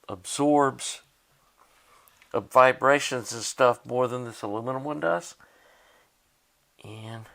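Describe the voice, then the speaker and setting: Man, mid-pitched voice, casual repair demo, plainspoken, unscripted, thinking aloud. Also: a bit bright. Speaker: hobbyist demonstrating a tape deck repair. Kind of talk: casual hands-on repair narration.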